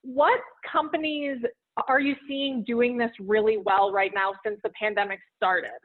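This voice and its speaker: A woman's voice: fairly high pitch, level tone, unhurried. A woman hosting an interview, asking questions and summarizing the guest's points in a friendly way. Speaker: interview host. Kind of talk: conversational interview. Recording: tinny phone-like line.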